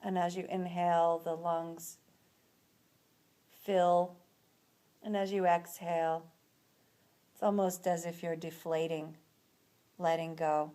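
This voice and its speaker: A woman leading a guided relaxation as an instructor, speaking in a soothing, unhurried manner with long pauses between phrases. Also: fairly low pitch, level tone, dark tone.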